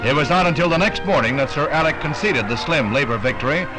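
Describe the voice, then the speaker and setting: A man's voice: a little high, lively intonation, quick pace, low-quality recording. An American man reads a scripted 1960s newsreel narration in a punchy, dramatic announcer delivery. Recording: 1960s newsreel soundtrack with loud music. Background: loud music.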